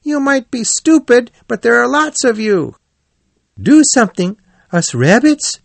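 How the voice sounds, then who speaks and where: A man's voice: extremely high pitch, big pitch swings, unhurried, vocal fry, no room sound, pitched above the performer's own voice, full character voice. A man voicing a bold, chatty otter in a storybook, swaggering and full of exclamation. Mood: excited, indignant. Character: bold, boisterous male otter, confident and chatty.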